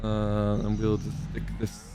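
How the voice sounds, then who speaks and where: A man's voice: on the low side, completely flat delivery, unhurried. Chatty man narrating farm gameplay over background noise. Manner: chatty, explanatory, thinking aloud. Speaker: gaming video host, playing a tenant farmer. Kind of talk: casual roleplay gameplay commentary on farming.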